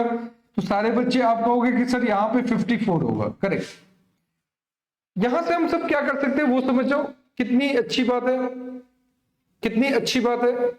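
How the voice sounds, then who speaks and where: A man's voice: very high voice, darkish tone, highs cut off. A man teaching an online maths lesson step by step, repeating phrases and checking in fondly with his students. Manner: repeats phrases, addresses students affectionately, asks rhetorical check questions. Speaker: maths teacher for exam coaching. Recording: echoey room.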